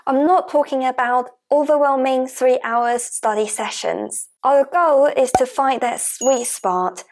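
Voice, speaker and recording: A woman whose first language is Chinese teaches a Mandarin lesson in English, her voice sitting slightly higher than most. She sounds gentle and encouraging and paces her script with care. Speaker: Mandarin teacher, channel host.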